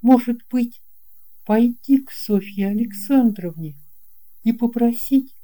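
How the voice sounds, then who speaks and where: A woman reading a children's story expressively, with deliberate phrasing and dramatic pauses. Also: on the high side, dark tone. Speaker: audiobook reader.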